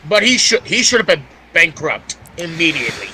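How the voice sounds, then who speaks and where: A man's voice: a little high, sing-song, fairly quick, bright, forceful tone, slight background noise, rough recording. A man co-hosting a casual, opinionated chat, insistently restarting his phrases to make a point. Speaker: podcast co-host.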